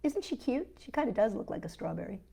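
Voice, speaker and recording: A woman's voice: on the high side, sing-song, fast, creaky, mellow, slight background noise. A woman hosting children's storytime, reading picture books aloud with enthusiastic, chatty asides to young viewers.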